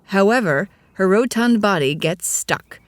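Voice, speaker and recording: A woman's voice: medium pitch, animated, unhurried. A woman narrating a scripted audio drama in a storybook style. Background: music with guitar.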